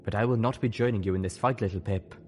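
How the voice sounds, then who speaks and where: Man voicing a solemn, gentle zebra mare. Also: fairly low pitch, slight background noise, close to an everyday voice. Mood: gentle, firm. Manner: solemn, measured. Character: solemn, gentle female zebra, resolute mother.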